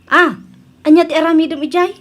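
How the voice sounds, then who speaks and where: A woman's voice: very high voice, steady tone, brisk. A woman with an Ilocano Filipino accent acting a gossipy, mocking village auntie in a comedy radio drama, nosy and teasing.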